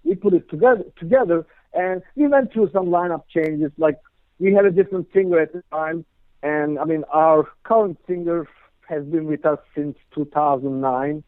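A man's voice: fairly high pitch, moderate pace, clean tone, no echo. Man over a tinny phone line. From Turkey. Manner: rambling, heavy on "you know" and "like" fillers. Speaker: guest guitarist. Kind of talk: casual interview chat.

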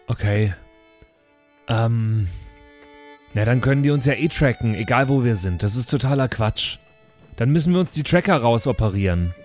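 A man's voice: medium pitch, lively intonation, moderate pace, pauses often, darkish tone. A man improvising in-character dialogue as a player in a role-playing podcast. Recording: music behind.

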